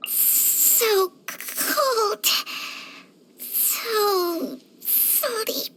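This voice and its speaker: A woman's voice: very high voice, very slow, heavy background noise, full character voice. A woman voicing a small, cute, cheeky and drowsy talking snake companion in a video game. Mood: cold, sleepy. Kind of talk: scripted video game character voice lines.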